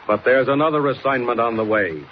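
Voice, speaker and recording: A man's voice: medium pitch, brisk. A man announcing a 1940s radio adventure serial in dramatic scripted narration. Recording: muffled old radio recording, faint noise.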